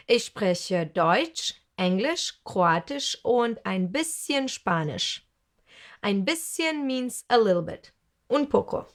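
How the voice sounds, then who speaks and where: A woman teaching a recorded language lesson, speaking carefully and repeating each word for learners. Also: mid-range voice.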